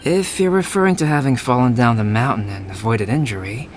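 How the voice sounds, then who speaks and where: An actor playing a calm, scholarly young male alchemist in a video game, speaking in a measured, formal, thoughtful way. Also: mid-range voice, slight background noise, lightly acted. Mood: composed.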